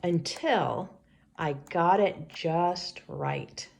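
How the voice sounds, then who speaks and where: A woman reading a picture book aloud to children in an expressive storybook style, like a teacher. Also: fairly low pitch, gravelly, slight background noise. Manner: pauses to ask children questions.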